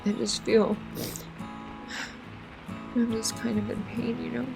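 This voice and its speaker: A woman playing a fragile, heartbroken woman after a breakup in an audio roleplay, halting and stammering as she doubts herself. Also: fairly high pitch, little pitch movement, vocal fry, loud music behind the voice, heavily acted, rough recording. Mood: tearful, apologetic. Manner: halting, stammering, rambling, sighing. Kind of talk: emotional audio roleplay acting.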